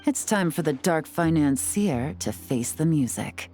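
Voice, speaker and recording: A cool, composed female secret agent from a video game, speaking in a low, calm voice. She is measured and sure of herself and lays out plans crisply. Faint game music sits in the background. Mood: commanding. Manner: calm, measured and confident, gives orders crisply.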